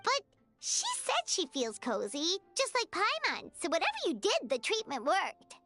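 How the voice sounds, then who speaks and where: A tiny fairy girl from an anime game, chirpy and naive. The voice is extremely high, piercingly bright and childlike, bouncing with expressive, eager curiosity over a music bed. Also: normal speed, heavily acted. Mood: curious. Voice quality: childlike, squeaky. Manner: refers to herself in the third person, eager, expressive. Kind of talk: English anime-style game voice acting.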